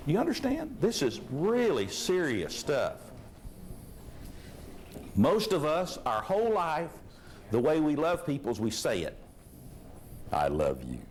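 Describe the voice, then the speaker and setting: A man preaching a sermon to a live congregation, folksy and animated, with a loud voice and frequent rhetorical questions. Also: on the high side, a little slow, pauses often, slight background noise.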